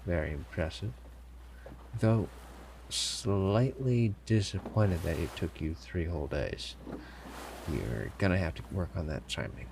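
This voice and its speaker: Man playing a deep, gravelly pirate captain. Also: animated, noisy background, exaggerated voice. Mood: measured approval. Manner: theatrical, deliberate, formal phrasing with teasing pauses. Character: male pirate captain, sly, commanding, smugly amused. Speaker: audio roleplay performer. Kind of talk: scripted immersive audio roleplay addressed to listener.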